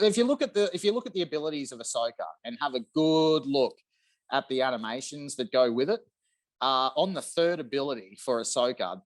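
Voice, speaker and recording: A man in his thirties chatting casually and emphatically about a video game on a video call, with lots of enthusiasm. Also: mid-range voice. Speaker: podcast guest. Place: room at home, on a video call.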